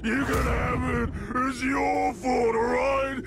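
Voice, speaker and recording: Frantic, drawn-out gravelly olog brute. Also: male voice, extremely high pitch, level tone, very noisy, full character voice. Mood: panicked pleading. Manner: frantic, repetitive, blustering pleas. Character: huge broken, panicked olog brute, pleading desperately. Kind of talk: video game cutscene acting. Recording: loud music over the voice. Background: loud game music.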